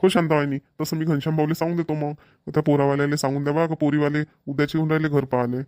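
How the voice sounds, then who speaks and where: A man's voice: a little high, little pitch movement, racing, a little mellow, clean tone, lightly acted. A man voicing an earnest village father in a homemade cartoon, speaking colloquial, emphatic, repetitive Marathi with a Vidarbha accent. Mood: agreeable, decided. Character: earnest village father arranging his daughter's marriage.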